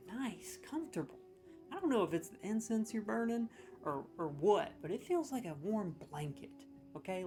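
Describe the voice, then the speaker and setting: A man's voice: fairly high pitch, darkish tone. A man in a comedic role, scoring lines with sarcastic, mock-serious, playfully exaggerated commentary.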